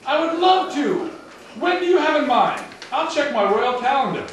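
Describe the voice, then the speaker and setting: Man playing a high, doting puppet king, echoey. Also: lively intonation, normal speed. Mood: eager, indulgent. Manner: gushing, courtly. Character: doting, indulgent puppet king in love with his queen. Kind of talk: amateur puppet show acting for children. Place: small home gathering, echoey room, far camera. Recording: echoey distant noisy home video.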